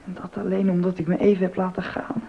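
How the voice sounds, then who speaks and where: Highish-voiced, aggrieved young choir prefect. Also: male voice, completely flat delivery, fast talker, creaky. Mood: aggrieved, defiant. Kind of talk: Dutch docudrama acting. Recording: dull, lo-fi sound.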